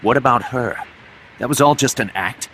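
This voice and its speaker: A man's voice: medium pitch, normal speed, fairly bright tone, slight background noise, natural voice, low-quality recording. A man playing an earnest, shrewd mercenary second-in-command in a game cutscene, pressing and questioning as he talks. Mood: sceptical. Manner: insistent, probing. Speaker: video game voice actor. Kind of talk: dramatic game cutscene dialogue.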